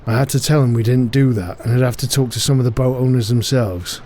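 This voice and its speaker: An actor playing a weary, suspicious middle-aged harbour master in an audio drama, speaking bluntly. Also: male voice, mid-range voice, steady tone, fast talker, dark tone, slight background noise, natural voice. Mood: matter-of-fact.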